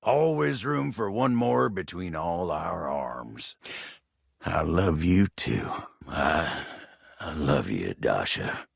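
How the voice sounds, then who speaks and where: A man voicing a gentle, lovestruck living table in a video game, with a gravelly, husky Southern American drawl and a bashful, earnest, folksy manner. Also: low-pitched, sing-song, slow, no room sound, studio quality, full character voice. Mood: earnest, loving. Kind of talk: video game character acting.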